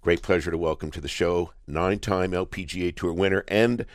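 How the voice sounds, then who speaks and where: A man's voice: low-pitched, steady tone, fairly quick, no echo. A man hosting a sports radio talk show, asking rambling questions in a conversational, jokey way. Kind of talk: casual sports radio interview.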